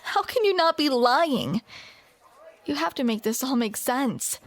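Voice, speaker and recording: A woman's voice: high voice, lively intonation, measured pace. A woman performing a scripted romantic audio roleplay, with playful, teasing banter, close to the microphone.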